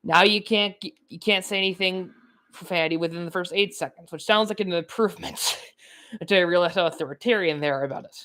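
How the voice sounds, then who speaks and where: A woman's voice: fairly low pitch, fast talker, a bit bright. Rambling nineteen-year-old woman gamer. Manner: chatty, filler-heavy, laughs often. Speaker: gaming YouTuber. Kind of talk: rambling let's-play commentary.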